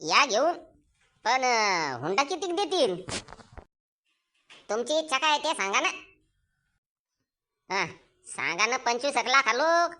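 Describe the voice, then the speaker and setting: A man's voice: extremely high pitch, sing-song, slow pace, long pauses, sharp tone, heavily acted. A man with a rural Maharashtrian accent voicing a greedy, boastful village father in a comic cartoon, haggling insistently in folksy speech.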